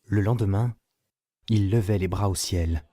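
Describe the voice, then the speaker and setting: An actor playing a narrator with a dark, husky voice, reading a scripted tale. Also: male voice, lowish voice, measured pace, no echo. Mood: measured. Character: quiet male narrator of a tale.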